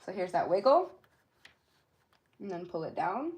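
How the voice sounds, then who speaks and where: A woman's voice: fairly low pitch, very animated, a little slow, darkish tone, husky. A woman hosting a live beauty tutorial in an informal, chatty way, speaking directly to her viewers. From born in Jamaica, grew up in Florida, US. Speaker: beauty livestream host.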